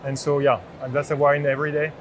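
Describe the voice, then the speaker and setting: A man in his thirties with a French accent giving an unscripted product demo in a halting way. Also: on the high side, level tone. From France. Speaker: chief product officer demonstrating a product. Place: open booth in large indoor exhibition hall. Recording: noisy trade show hall background.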